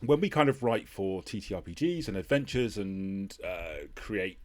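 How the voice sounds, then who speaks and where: A man's voice: low voice, expressive, normal speed. A man hosting a podcast in a casual conversational style, hesitant and agreeable as he talks. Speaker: podcast host. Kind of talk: casual interview chat.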